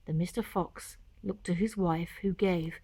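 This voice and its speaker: A woman's voice: fairly low pitch, fairly even intonation, unhurried, warm tone, slightly rough, no room sound. A woman narrating a children's storybook in rhyming verse. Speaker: author reading own story.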